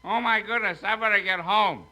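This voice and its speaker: A man's voice: high-pitched, moderate pace, pushed and bright, poor audio. An actor playing a befuddled, tipsy, polite male passerby in a 1950s radio comedy. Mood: startled. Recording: old radio recording with faint noise.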